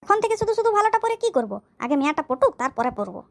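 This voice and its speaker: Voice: very high-pitched, very animated, rapid-fire, a bit bright, faint music behind, heavily acted. An actor voicing a sly, cheeky young village lad in a comedy cartoon, muttering. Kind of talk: comic cartoon dialogue in village dialect.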